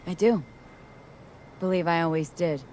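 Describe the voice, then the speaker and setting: A woman's voice: lowish voice, measured pace, darkish tone, slight background noise, lightly acted. An actress playing a young female mercenary in a video game, speaking in a casual, clipped, wry way. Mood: sincere.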